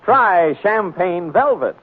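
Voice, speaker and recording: A tinny old radio recording carries a high-voiced male pitchman. He sells slowly and emphatically in 1940s commercial style. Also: expressive. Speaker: commercial announcer. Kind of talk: 1940s radio beer advert.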